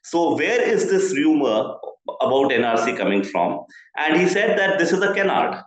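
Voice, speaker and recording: A man with an Indian accent and a fairly high voice gives explanatory news analysis. He speaks like a journalist walking listeners through a policy, deliberately and point by point. The recording is very reverberant, as if made in a bare, echoey room. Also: sing-song.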